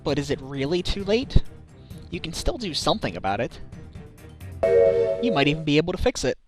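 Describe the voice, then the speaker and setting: Man playing an earnest, animated defense lawyer. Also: on the high side, a little fast, over loud music. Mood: earnest, pressing. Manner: earnest, pleading, emphatic. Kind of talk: fan dub of a courtroom mystery game.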